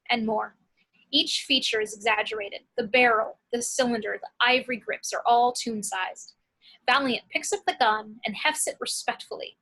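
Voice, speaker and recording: A woman's voice: on the high side, bright, forceful tone, rough recording. A woman reading screenplay action and scene headings as scripted narration.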